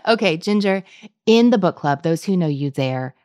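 A woman's voice: fairly low pitch, lively intonation, moderate pace, a little mellow, no room sound, clean studio sound. A woman hosting a podcast, interviewing her guest in a playful, laughing way. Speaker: podcast host. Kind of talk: casual interview chat.

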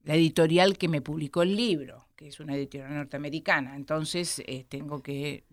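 Low-voiced Argentine woman, reflective. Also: fairly even intonation, no echo, clean studio sound. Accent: Argentine Spanish. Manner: reflective, explains at length with many pauses. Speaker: historian guest. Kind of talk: studio interview.

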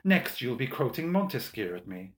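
A man voicing an arrogant, mischievous young English gentleman in an audiobook, drawling and mocking, with drawn-out emphatic words. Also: medium pitch, very animated, reverberant, close to an everyday voice. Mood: disdainful, mocking. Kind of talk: audiobook character dialogue.

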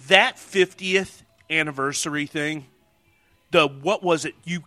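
A man's voice: on the high side, slow pace, sharp tone, soft background music. A man with a St. Louis accent chatting loosely as an interview guest, laughing often and swearing freely. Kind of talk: casual chat.

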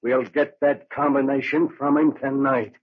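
An actor playing a tough, greedy crooked detective with slangy, sneering delivery in 1940s radio style. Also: male voice, mid-range voice, fairly even intonation, moderate pace, very noisy, natural voice. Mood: bullying, greedy. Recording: dull old radio broadcast.

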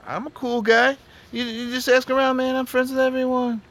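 An actor playing a laid-back, evasive young party drug dealer who talks in stoner slang, in a naturalistic audio drama. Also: male voice, very high voice, level tone, normal speed, a bit bright. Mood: defensive, chummy. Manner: slangy stoner talk, peppered with bro and man. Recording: faint ambient background noise.

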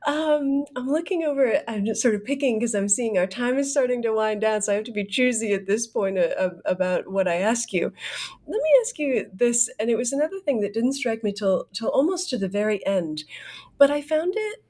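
A woman's voice: fairly high pitch, expressive, a little fast, a little mellow. A woman interviewing an author in a chatty, self-correcting way. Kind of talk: conversational interview.

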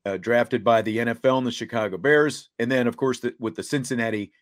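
A man hosting a sports talk show, reading and commenting in a deliberate way, laying out points step by step. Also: mid-range voice.

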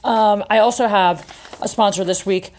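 A woman in her thirties hosts a podcast, telling stories in a loose, candid way. She mocks herself freely and fills her speech with "like" and "um." Her voice sits at an ordinary middle pitch for a woman. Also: fairly even intonation, moderate pace, rough recording. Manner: chatty, candid and self-mocking, with frequent "like" and "um". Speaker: podcast host. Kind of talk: casual storytelling monologue with ad reads.

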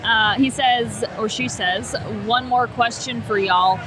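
A woman hosting a live sports show who asks lively questions and teases her co-hosts. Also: a little high, takes it slowly, music in the background, poor audio. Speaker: sports podcast host.

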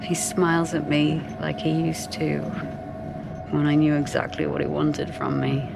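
A woman's voice: deep voice, level tone, measured pace, gravelly, over music, exaggerated voice, low-quality recording. An actress playing a wistful married woman in her forties in an audio drama, speaking in a hesitant, confiding, intimate way. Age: 40s. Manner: hesitant, confiding, self-conscious.

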